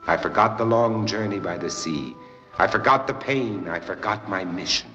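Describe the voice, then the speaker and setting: An actor playing a wry, world-weary adventurer for hire who drinks hard, speaking in a theatrical, sardonic and lyrical way in an old film. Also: male voice, deep voice, moderate pace, sharp tone. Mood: brooding, feverish. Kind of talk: old film acting with poetic first-person narration. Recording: muffled old film soundtrack, faint music.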